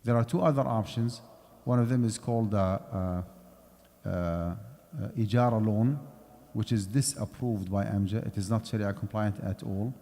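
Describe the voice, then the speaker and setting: Man, lower voice, dark mellow tone, conversational lecture, long hall reverb, mosque setting. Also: normal speed, gravelly. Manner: conversational, explanatory, checks in with "okay". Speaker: Islamic finance lecturer.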